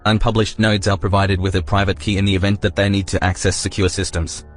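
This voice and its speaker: A man playing an officious security AI in an audio drama, formal, deadpan and bureaucratic. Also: on the low side, quick pace, close to an everyday voice. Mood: stern, officious. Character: officious, stern male security AI guarding a system. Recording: music under the dialogue.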